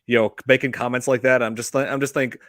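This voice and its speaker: A man's voice: medium pitch, steady tone, fairly quick, a bit bright, dry recording, studio quality. A man in his thirties hosting a casual podcast, rambling with lots of fillers. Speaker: podcast host.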